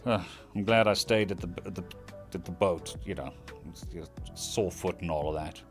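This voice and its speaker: Low-voiced South African male streamer, easygoing and rambling, faint music under him. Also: moderate pace. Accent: South African English with British tones. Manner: relaxed, rambling, thanks viewers by name. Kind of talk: casual stream chat.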